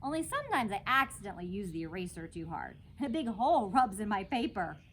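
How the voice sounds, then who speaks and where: A woman reads a children's story aloud with very lively, varied intonation. Her voice has a medium pitch, and there is some noise in the recording. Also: quick pace. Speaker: storytime reader. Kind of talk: animated children's book read-aloud.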